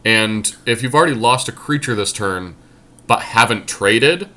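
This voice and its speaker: A man co-hosting a podcast, casually talking through card-game deckbuilding and thinking aloud with hesitant pauses. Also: mid-range voice, expressive, bright, forceful tone. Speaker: podcast co-host.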